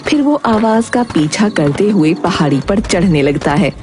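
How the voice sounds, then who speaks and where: A woman's voice: mid-range voice, animated, unhurried. A woman reading scripted narration for a children's story. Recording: prominent music behind the voice.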